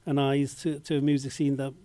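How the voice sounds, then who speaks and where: A man, a filmmaker, answering questions at a festival Q&A with many pauses, fillers and restarts. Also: mid-range voice, little pitch movement, dark tone, no echo. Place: cinema Q&A stage.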